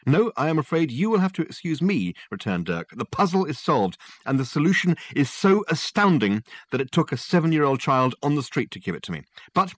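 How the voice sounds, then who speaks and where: A man voicing a flamboyant, verbose middle-aged male detective who speaks in grand, excitable flourishes. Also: a little high, a little fast, few pauses, no room sound, pitched above the performer's own voice.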